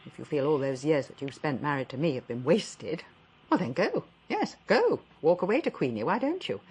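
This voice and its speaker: A woman's voice: low voice, very animated, normal speed, a little mellow, slightly rough, heavily acted. An actress playing a sarcastic, exasperated older wife who scolds her husband in a radio drama. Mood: indignant.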